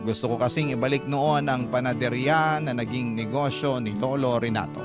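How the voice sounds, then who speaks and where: A man's voice: medium pitch, moderate pace. A man with a Filipino accent reading a scripted first-person story as a radio drama narrator. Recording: guitar music under the voice.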